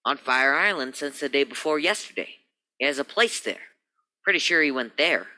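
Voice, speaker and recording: An actor playing a formal, guarded lawyer in an old-time radio crime drama, with a fussy, dismissive manner. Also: male voice, mid-range voice, lively intonation, moderate pace, sharp tone. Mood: evasive, haughty. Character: stiff, proper male attorney, guarded and formal.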